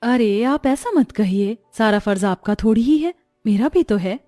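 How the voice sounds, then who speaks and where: A woman playing a gentle, worried, patient mother and wife in a moral-story cartoon. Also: fairly high pitch, animated, unhurried, no echo, clean studio sound, faint music behind. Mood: caring.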